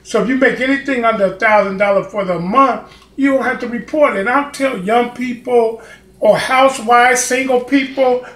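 A man's voice: very high-pitched, moderate pace, fairly bright tone. A man in his fifties hosting a casual home cooking tutorial, chatting and rambling with lots of fillers as he films himself in his kitchen. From Chicago, United States. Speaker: home cooking channel host. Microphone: camera mic, filming himself. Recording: echoey kitchen with faint noise.

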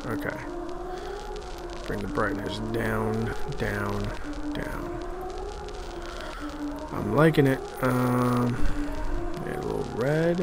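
Slow, musing man with swinging pitch. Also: huge pitch swings, over loud music. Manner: musing, halting, trailing off. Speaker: host of an art-making video series. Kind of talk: casual thinking-aloud screen-recording commentary.

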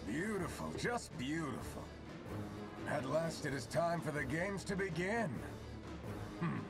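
A man's voice: fairly high pitch, sing-song, unhurried. An actor playing a smug, theatrical male enemy commander in a game. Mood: gloating. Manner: pompous, gloating. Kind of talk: video game voice acting. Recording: heavy background music.

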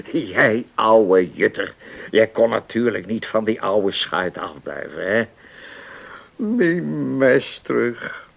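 An actor playing a genial old seafarer in a radio play, ruminative and repeating himself. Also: male voice, fairly low pitch, lively intonation, drawn-out words, gravelly, pauses often, slightly rough, full character voice. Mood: delighted. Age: older. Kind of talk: 1980s Dutch radio play acting.

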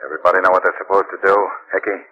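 An actor playing a commanding gang boss, clipped and tough, in 1940s radio crime style. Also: male voice, sits low, flat delivery, rapid-fire, close to an everyday voice. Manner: clipped, barking orders. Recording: noisy, dull old radio recording.

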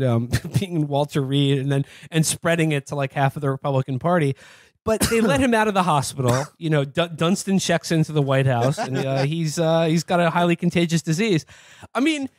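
A man with a moderately high voice chats casually as a podcast co-host, in a dry close recording. He is halting and comedic, padding phrases with "uh" and "you know". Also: dry recording. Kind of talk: casual comedic political chat.

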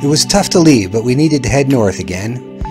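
A man's voice: medium pitch, expressive, a little fast, over music. A middle-aged man reading scripted travel narration as the host of a vlog. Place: voice-over with music behind.

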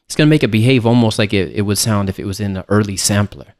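A man narrating a software tutorial as an instructor, explaining things in a casual way. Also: lowish voice, fast talker. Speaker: music production instructor.

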